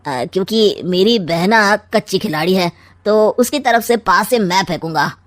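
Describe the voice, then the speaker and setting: An actor playing a sly, scheming middle-aged uncle in a cartoon story, with smug, taunting, theatrically drawn-out rhyming quips. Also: male voice, sits high, fairly bright tone, no echo. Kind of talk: Hindi cartoon story acting.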